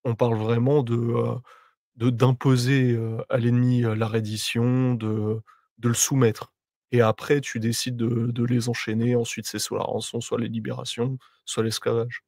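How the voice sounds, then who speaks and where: Flat-voiced man, measured and hesitant. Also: mid-range voice, gravelly, no echo, studio quality. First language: not Arabic. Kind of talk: casual discussion.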